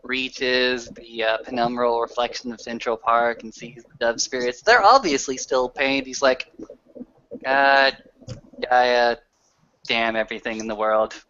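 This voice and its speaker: A man's voice: medium pitch, unhurried, sharp tone. A man with a mid-range voice casually narrates his part in a tabletop roleplaying game. The audio is muffled, with a little noise behind him. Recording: dull noisy lo-fi voice chat, clicks.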